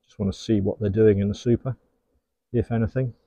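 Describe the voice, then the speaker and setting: A man's voice: on the low side, steady tone, fairly quick, dry recording, muffled recording. A middle-aged man working at his beehives outdoors in a garden apiary, giving easygoing running commentary and talking fondly to the bees. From England. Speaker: beekeeper. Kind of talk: hands-on commentary while working.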